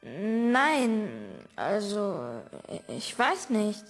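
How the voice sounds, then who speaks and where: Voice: mid-range voice, sing-song, fairly bright tone, faint music behind, full character voice. An actor playing a timid, bewildered young boy who speaks hesitantly in an audio drama. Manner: hesitant, halting. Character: timid, bewildered small boy, newly abducted.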